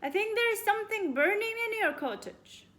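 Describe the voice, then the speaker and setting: A woman's voice: very high voice, animated, moderate pace, fairly bright tone, voice pushed up. A woman voicing a polite, curious and forthright little girl from a storybook. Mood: concerned.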